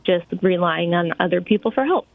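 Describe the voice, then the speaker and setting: A woman's voice: fairly low pitch, animated, fairly quick, vocal fry. A woman giving casual answers in a live news interview, heard over a narrow, tinny phone-like line. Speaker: local business worker interviewed live.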